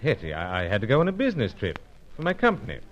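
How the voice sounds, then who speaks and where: Man acting a lower-voiced, animated gentleman spy. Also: racing, dull audio. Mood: flustered, apologetic. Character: suave, flustered British secret agent, a man. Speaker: lead actor and first-person narrator. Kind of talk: pulp spy radio serial acting.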